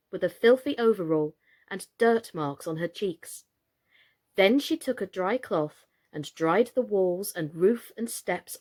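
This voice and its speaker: A woman reading a children's storybook aloud in an expressive, scripted style. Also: mid-range voice. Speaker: story reader, actress.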